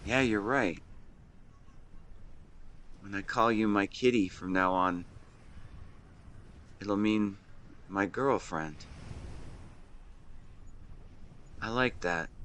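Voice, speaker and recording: A man playing a shy young man in love in a romantic audio roleplay, speaking in an earnest, halting, emotional way. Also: lowish voice, fairly bright tone, slight background noise. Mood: soft, affectionate. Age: young adult. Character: shy young man tenderly confessing love to best friend.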